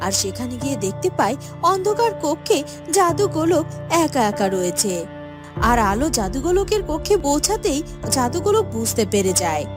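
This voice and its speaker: A woman narrating a fairytale as scripted, expressive storybook narration. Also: high voice, moderate pace, over music.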